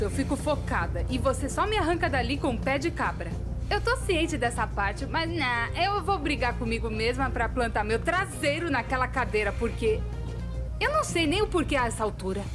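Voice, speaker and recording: Woman acting as high, expressive Brazilian cartoon storyteller. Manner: expressive, dramatic, colloquial. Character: self-deprecating animated young woman telling her own story. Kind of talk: animated storytime narration, fan dub. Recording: music behind the voice.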